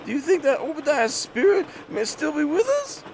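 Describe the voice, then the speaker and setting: A man's voice: very high-pitched, animated, normal speed, vocal fry, a little hiss or hum, full character voice. A man acting in a comedy horror audio drama as a meek, hesitant younger brother. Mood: sheepish. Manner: hesitant, submissive. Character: meek, slow-witted hillbilly younger brother, reluctant sidekick.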